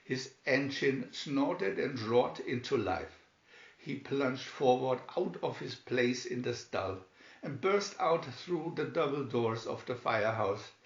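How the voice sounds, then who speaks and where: A man reading a children's adventure story aloud with measured, deliberate phrasing, recorded in an echoey room. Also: mid-range voice, highs cut off. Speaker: storybook narrator.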